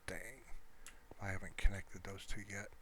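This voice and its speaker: A man giving casual, rambling gameplay commentary, muttering to himself in a low-key way. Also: fairly even intonation, a little slow. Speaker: gamer narrating his own gameplay. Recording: clear background noise, mouse clicks.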